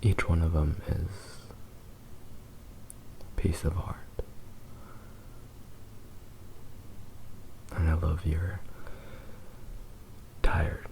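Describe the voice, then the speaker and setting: A man playing a possessive, doting kitsune fox boy in a slow, tender, intimate whispered ASMR roleplay. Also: rumbling low, flat delivery, gravelly, a little mellow, exaggerated voice, poor audio. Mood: fond.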